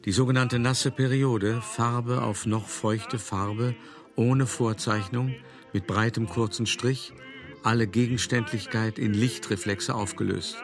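A man playing a shrewd, worldly art dealer who recounts his past in a radio drama, in a wry, measured, conversational way. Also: medium pitch, fairly even intonation, music in the background, close to an everyday voice. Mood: sly, pleased. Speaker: narrator. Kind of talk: radio drama monologue, first-person storytelling.

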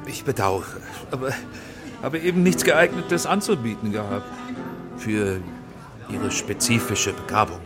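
An actor playing a proud, evasive middle-aged film director in exile in a German radio drama, speaking haltingly and defensively. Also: male voice, fairly low pitch, over music, lightly acted. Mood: evasive, embarrassed.

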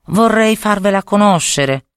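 A woman's voice: mid-range voice, lively intonation, unhurried, clean tone, no room sound, clean studio sound, voice pushed up, close to an everyday voice. A woman playing a young fairy-tale hero. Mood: earnest.

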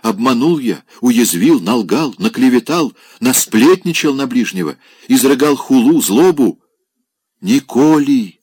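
Drunk sentimental old clerk, pitched high and loud, in a storybook reading, as a male reader puts him on. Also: expressive, unhurried, no echo, studio quality. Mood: declaiming. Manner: churchy archaic phrasing, wailing.

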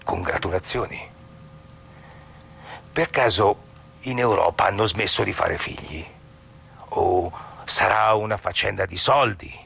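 Gravelly, husky, sardonic man played by an actor. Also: low-pitched, fairly bright tone, exaggerated voice. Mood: sardonic probing. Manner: sneering, theatrical, drawn-out irony with mocking asides. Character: sardonic, blunt outsider man mocking a married couple. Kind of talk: stage monologue acting.

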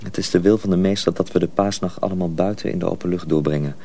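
A man playing a calm, knowing senior apprentice in a radio drama, low-key and matter-of-fact. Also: fast, slight background noise. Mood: calm, grave. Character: calm, knowing older fellow apprentice at night.

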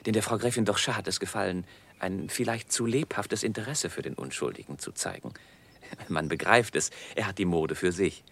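Radio play role: deferential smooth-talking male courtier, very low husky voice, formal theatrical delivery. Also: a little fast, fairly bright tone, exaggerated voice. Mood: flustered, apologetic. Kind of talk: German radio play, theatrical stage diction.

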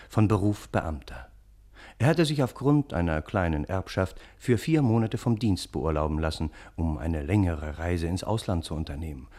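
An actor playing a respectable, courteous gentleman who narrates a German radio play as a family friend in measured speech. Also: sits low.

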